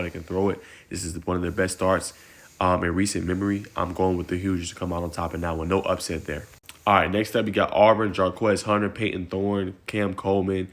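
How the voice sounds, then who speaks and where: A man talks through his weekend football picks in a casual, energetic way. His voice is deep and rough with fry, hoarse this morning. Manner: energetic, conversational, frequent "man" and "right?". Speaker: sports content creator.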